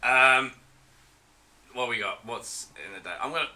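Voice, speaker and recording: Bright-voiced, swearing male player. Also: medium pitch, measured pace, slight background noise. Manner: profane, laughing, stammering. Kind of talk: casual tabletop play.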